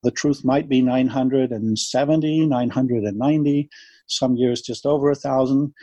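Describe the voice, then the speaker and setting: A man from Denmark with a Danish accent, speaking English as a second language in an interview, measured and laying out his argument step by step with numbers. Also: medium pitch, fairly even intonation, warm tone, no echo. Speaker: interviewee, wildlife advocate. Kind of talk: earnest explanatory interview talk.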